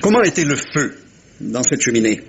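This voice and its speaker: An actor playing a self-assured, politely probing little Belgian detective in a theatrical French radio mystery. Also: male voice, medium pitch, very animated, normal speed, vocal fry, slight background noise. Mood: reasoning, emphatic.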